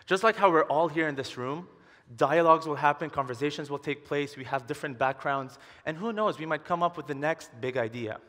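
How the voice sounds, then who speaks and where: A man in his twenties delivers a prepared stage presentation. His voice sits somewhat higher than most men's. The sound carries the long reverb of a large hall, picked up on a headset mic. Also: brisk, fairly bright tone. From Dubai. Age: 20s. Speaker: conference speaker, entrepreneur and urban designer.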